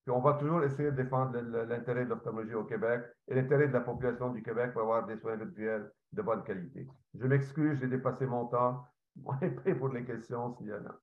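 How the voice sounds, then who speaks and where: A man's voice: mid-range voice, quick pace. A man giving an earnest conference talk as an ophthalmologist presenter, restarting now and then. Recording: echoey, dull lo-fi audio.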